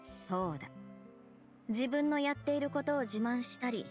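A woman playing a stern young patrol captain in a game, formal, deadpan and authoritative. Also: on the high side, moderate pace, soft background music, close to an everyday voice. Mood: matter-of-fact.

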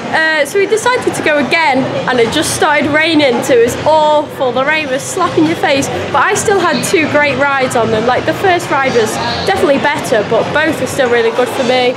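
A young woman in her late teens, slightly hoarse, chatting enthusiastically into a phone held at arm's length at an outdoor theme park. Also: high voice, animated, fairly quick, hardly any pauses. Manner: chatty, enthusiastic, asks companion questions. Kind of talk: casual vlog commentary. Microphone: phone camera held at arm's length. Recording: loud music and crowd noise at a theme park.

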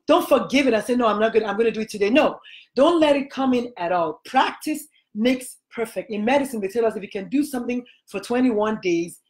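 A woman's voice: a little high, a little mellow. A middle-aged woman with a Nigerian accent, hosting a casual interview chat from a small room at home, talking emphatically into a laptop webcam. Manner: emphatic, gestures broadly while talking. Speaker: podcast host.

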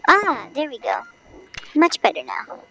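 A game streamer with a high-pitched voice-changer effect, chatting in a goofy, exclamatory way on a livestream. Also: wildly swooping pitch, measured pace, background noise.

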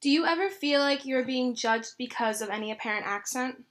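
A woman's voice: high-pitched, a little fast, a bit bright. A woman interviewer reading out prepared questions to her subject.

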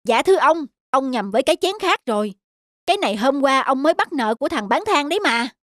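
A woman voicing a young, plain-spoken servant in an animated folktale. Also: high voice, fast, dry recording, studio quality.

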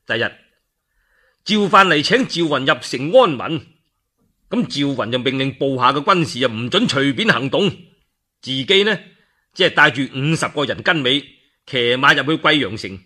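Male storyteller, Cantonese accent, animated pitch, bright forceful voice, colloquial, theatrical radio narration. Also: normal speed, long pauses.

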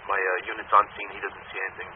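A man's voice: deep voice, flat delivery, fast talker, recorded from a distance. A man working as a fire dispatcher, speaking tersely over a two-way radio. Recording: phone-like radio channel, clear static noise.

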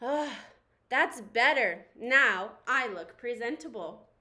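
Fussy, forceful paper plate lady, played by a woman. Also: on the high side, animated, slow, full character voice. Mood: smug. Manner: insistent, fussy. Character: demanding, vain paper plate lady toy.

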